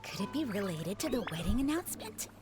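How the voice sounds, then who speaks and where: A woman's voice: medium pitch, sing-song, fast talker, over music, lightly acted. An actress playing a curious, gossiping townswoman in a video game.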